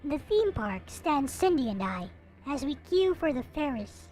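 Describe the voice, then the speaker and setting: High-pitched, theatrical woman narrator over music. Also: sing-song, moderate pace, darkish tone. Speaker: video creator reading a visual novel aloud. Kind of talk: dramatic read-aloud narration of a visual novel. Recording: music playing behind the voice. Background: visual novel soundtrack music.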